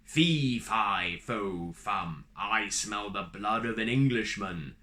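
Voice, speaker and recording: A man's voice: slow pace, sharp tone. A man voicing a huge fearsome male giant who bellows threats in a storybook reading. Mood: menacing. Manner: booming, menacing chant.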